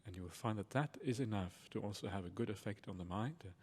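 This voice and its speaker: A man with a fairly low voice gives guided meditation instruction. He sounds soothing and reassuring, taking his time like a teacher at a retreat. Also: fast talker, a little mellow. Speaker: Buddhist monk and meditation teacher.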